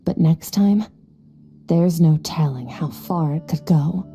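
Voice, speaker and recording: A woman's voice: low voice, slow, dark tone, breathy edge, faint music behind, heavily acted. A woman playing a cold, aloof and powerful young female warrior in a video game, curt, detached and condescending. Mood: grave, detached.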